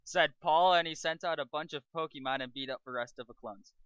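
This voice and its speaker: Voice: medium pitch, brisk, a bit bright, lo-fi sound, slight background noise. An amateur reader narrating fan fiction as a mock dramatic reading.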